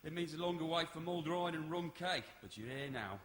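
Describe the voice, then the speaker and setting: A man's voice: on the high side, animated, fairly quick, fairly bright tone. A man acting in a radio play as a droll complainer at a meeting. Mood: droll grumbling. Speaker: actor in a community radio play. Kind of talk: radio drama performed before an audience. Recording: echoey hall with background noise.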